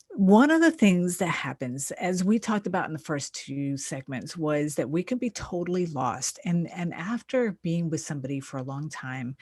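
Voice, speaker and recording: A woman's voice: low-pitched, dry recording, clean studio sound. A woman hosting a self-help radio show, talking conversationally in an encouraging, emphatic way. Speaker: radio show host, therapist and life coach.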